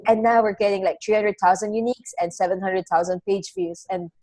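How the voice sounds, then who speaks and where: A woman's voice: mid-range voice, big pitch swings, a little fast, creaky, dark tone, low-quality recording. Woman, young adult, chatty, loose storytelling, webinar guest. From Philippines. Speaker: travel blogger guest. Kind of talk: casual webinar interview.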